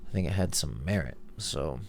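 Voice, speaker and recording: A man's voice: extremely low pitch, animated, normal speed, vocal fry, a little mellow. A young man with a stuffed-up, nasal voice, rambling in a laid-back, slangy way as he comments over his own video. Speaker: video host. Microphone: headphones monitoring, voice-over mic.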